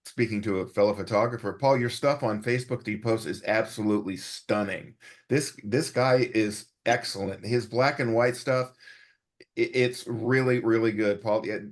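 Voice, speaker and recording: A man's voice: lowish voice, steady tone, moderate pace. An American man chatting casually and emphatically as he interviews a guest.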